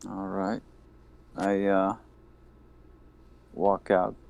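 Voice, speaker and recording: A man's voice: sits low, flat delivery, extremely slow, creaky, a little mellow. A man playing a tabletop horror game, speaking briefly and laid-back, in and out of character. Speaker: player.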